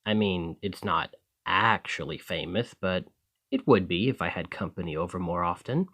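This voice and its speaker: Man acting as an animated, friendly fantasy alchemist. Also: sits low, moderate pace, slightly rough, heavily acted. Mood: flustered, cheerful. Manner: chatty, eager, slightly flustered and rambling. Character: friendly, excitable male village alchemist in a fantasy shop. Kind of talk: ASMR roleplay addressed to the listener.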